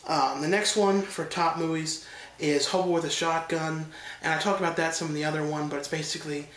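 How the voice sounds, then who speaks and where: A man's voice: on the high side, steady tone, brisk, fairly bright tone, roomy sound, low-quality recording. A man with a stuffed-up nose from allergies giving a loose, rambling casual review, with many fillers and restarts. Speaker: horror film reviewer.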